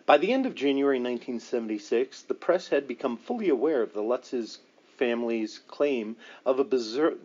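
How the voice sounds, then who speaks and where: A man's voice: mid-range voice, darkish tone, muffled recording. A man reading a paranormal book aloud as scripted narration, with a dramatic storytelling delivery. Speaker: audiobook reader.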